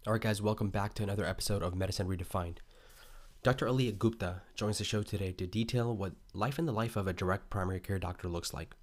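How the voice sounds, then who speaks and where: A man's voice: fairly low pitch, quick pace, faint music behind. A male podcast host who reads a guest introduction and then asks loose, rambling questions full of fillers. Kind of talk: read intro, then casual interview chat.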